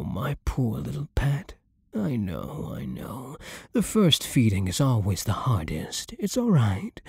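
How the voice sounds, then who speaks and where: A man voicing a centuries-old, possessive vampire lord in an ASMR roleplay, silky and commanding with a breathy voice that often sinks into whispers. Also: medium pitch, a little mellow, dry recording, clean studio sound, full character voice. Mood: mock soothing. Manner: slow, theatrical, silky, commanding, condescending. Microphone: close studio microphone, dry.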